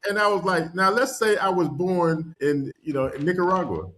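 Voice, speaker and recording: A man from North Carolina, a podcast guest and world traveler, telling stories in casual conversation with lively energy and frequent laughter. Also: high voice, normal speed. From North Carolina, USA. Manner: animated storyteller, laughs often.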